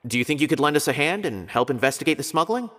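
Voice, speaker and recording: A man's voice: fairly high pitch, sing-song, fast, background noise. A man voicing a polite, earnest officer in an anime-style game, courteous and slightly hesitant, with a worried seriousness.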